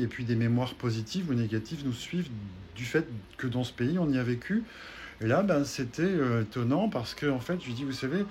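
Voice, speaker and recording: A man's voice: mid-range voice, a little mellow, slight background noise. A man who does soul healing, telling one session's story to his online followers in a hesitant, casual way with many fillers and restarts. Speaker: soul healer sharing a session. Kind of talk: casual spoken testimony.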